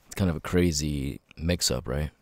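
A man voicing an apologetic, troubled ex-convict with tics, talking haltingly and stammering in an ASMR audio roleplay. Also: a bass voice, creaky, no room sound, exaggerated voice. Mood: confiding, hesitant. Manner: halting, stammering, sudden loud tic outbursts.

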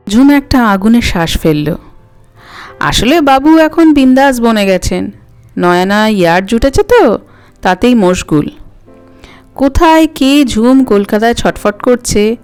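A woman's voice: on the high side, darkish tone. A woman narrating a story expressively as an audio story host, with music behind her.

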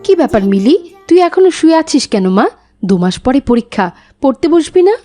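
A woman playing a gently nagging, soft-hearted mother in a cartoon, coaxing her child. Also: high-pitched, big pitch swings, fairly quick, soft background music. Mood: gentle nagging. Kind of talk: cartoon dialogue acting.

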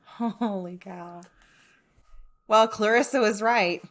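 An American woman in her thirties or forties hosting a podcast in casual, expressive, effusive chat over a video call from her living room. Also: mid-range voice, slow. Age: 30s to 40s. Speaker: podcast host. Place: living room at home, indoors. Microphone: video call, wired earbuds worn.

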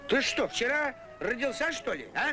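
A man voicing a gruff but tender wolf in a Soviet puppet cartoon, muttering to himself and softening into doting coos. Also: extremely high pitch, very animated, moderate pace. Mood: grumbling, softening. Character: gruff but tender male wolf fussing over a foundling calf. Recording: 1980s cartoon soundtrack with music.

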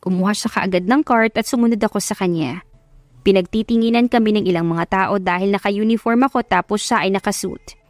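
A woman's voice: mid-range voice, fairly quick, no echo. A woman reading a scripted first-person love story as an audiobook narrator.

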